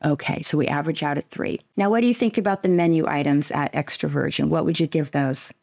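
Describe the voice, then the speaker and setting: A woman's voice: low-pitched, fast, creaky, a little mellow. A woman travel vlogger chatting casually with her husband, rating restaurants and leading with upbeat questions. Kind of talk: casual restaurant review chat.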